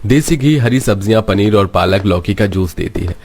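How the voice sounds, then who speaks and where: A man's voice: lowish voice, moderate pace, darkish tone, husky. A man narrating a scripted cartoon moral story as its storyteller.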